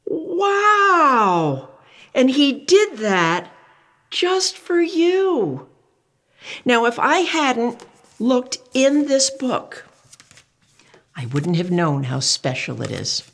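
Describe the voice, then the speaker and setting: Lively older woman reading to kids. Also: sits high, very slow, pauses often. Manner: animated, exclamatory storyteller who asks the child questions. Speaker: children's book reader. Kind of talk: reading a picture book aloud to children.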